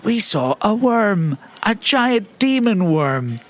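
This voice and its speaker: A man's voice: high voice, sing-song, slow pace, a bit bright, full character voice. A man playing an earnest, naive investigator in an improvised audio roleplay, talking conversationally.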